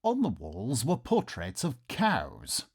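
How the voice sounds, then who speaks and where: An actor playing a polite, excitable Victorian nobleman in a comedy radio play, with a theatrical, pompous and well-mannered delivery. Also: mid-range voice, takes it slowly, exaggerated voice. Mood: anxious, dramatic. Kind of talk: melodramatic first-person radio theatre narration and acting. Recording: noisy ambience behind the voice.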